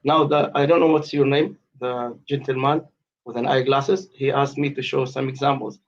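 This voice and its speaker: A man's voice: mid-range voice, fairly even intonation, normal speed, muffled recording. A man in his late fifties from the West Bank, calling into a live debate aftershow and talking in an insistent, formal way. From West Bank, Palestine. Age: 50s. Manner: insistent, formal phrasing, repeats himself. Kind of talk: heated live discussion. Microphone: online call.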